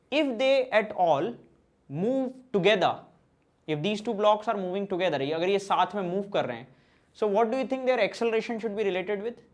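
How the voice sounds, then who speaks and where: High-voiced man teaching physics slowly. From central India. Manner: mixes Hindi and English, reads out student questions and answers them. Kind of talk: online class lecture.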